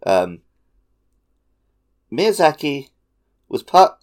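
A man's voice: a little high, sing-song, drawn-out words, a little mellow. A man co-hosting a podcast, talking casually as he lays out his thoughts. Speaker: podcast co-host.

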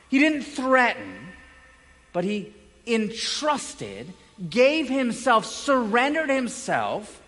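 Guy pastor preaching, pretty high voice, big echoey hall, really emphatic w dramatic pauses. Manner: emphatic, with dramatic pauses and swings from loud to hushed. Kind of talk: sermon. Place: large indoor room, preaching to a congregation. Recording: echoey hall with long reverb.

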